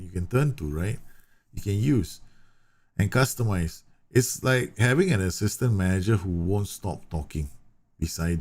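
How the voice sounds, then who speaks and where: A man from Singapore talks through a football management game on a livestream. His voice sits low and carries a gravelly fry. He explains at length, circling back with fillers and self-corrections. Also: a little mellow. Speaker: streamer. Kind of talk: live game commentary answering chat.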